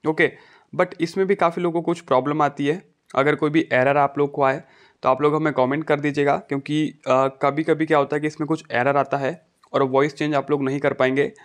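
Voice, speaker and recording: A man's voice: on the high side, fairly even intonation, a little fast. A man talking through a casual step-by-step phone tutorial as the host.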